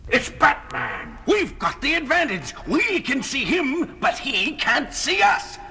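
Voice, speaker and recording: An actor voicing an agitated male comic-book creature or crook with a strained, creaky voice, in a 1970s superhero record drama. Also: very high-pitched, huge pitch swings, takes it slowly, exaggerated voice. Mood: alarmed. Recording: shouted over loud music.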